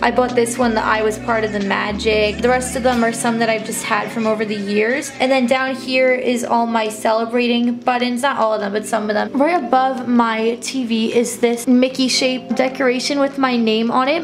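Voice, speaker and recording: A young woman with a slightly high, raspy voice, a little stuffy from allergies. She rambles cheerfully with lots of "like" and "um" while filming her small bedroom on a handheld phone. Music plays clearly underneath her talk. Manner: chatty, upbeat, rambling with fillers. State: congested from allergies. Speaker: college program intern. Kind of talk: casual room tour vlog. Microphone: handheld phone camera.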